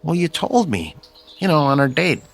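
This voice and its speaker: A man's voice: fairly high pitch, sing-song, moderate pace, creaky, exaggerated voice. An actor playing an awkward, lovesick male neighbour in an audio drama, hesitant and stammering. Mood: hopeful, awkward.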